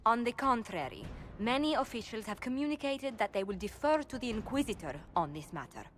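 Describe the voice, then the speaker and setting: A woman playing a diplomatic court advisor in a fantasy video game, formal, measured and articulate. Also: on the high side, animated, a bit bright, slight background noise. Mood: formal, composed.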